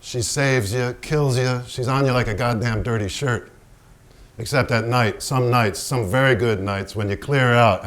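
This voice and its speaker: An actor playing a hard-drinking, tormented painter in a theatrical staged monologue, profane, brooding and rambling. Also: male voice, on the low side, fairly bright tone, close to an everyday voice. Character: hard-drinking, foul-mouthed, tormented male painter, self-mocking. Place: staged reading in a barn before an audience.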